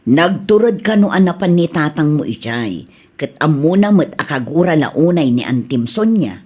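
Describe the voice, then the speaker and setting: A woman playing a calm, tactful older aunt with a husky voice in an Ilocano-accented radio drama. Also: low-pitched, moderate pace. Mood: calm, confiding.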